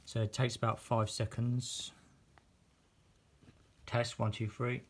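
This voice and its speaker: A man's voice: mid-range voice, little pitch movement, slow pace. A British man giving a casual, unscripted product demonstration as a reviewer. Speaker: product reviewer filming an unboxing.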